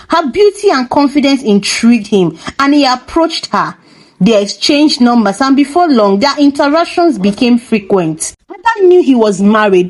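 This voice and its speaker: A woman's voice: a little high, a little mellow. A woman reading a scripted folktale as a storyteller. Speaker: storyteller, narrator.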